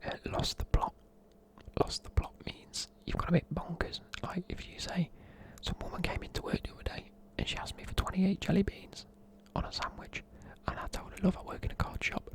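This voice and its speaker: A man, whispering softly in a chatty, rambling way while explaining words. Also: high-pitched, flat delivery, normal speed. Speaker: ASMR creator. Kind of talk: whispered ASMR explaining slang.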